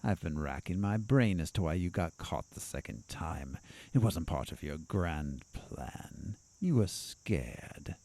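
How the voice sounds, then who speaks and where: An actor playing a sardonic asylum doctor in an audio drama, probing and needling in a confrontational way. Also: male voice, deep voice, expressive, slow pace, gravelly, darkish tone, dry recording, exaggerated voice. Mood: gloating.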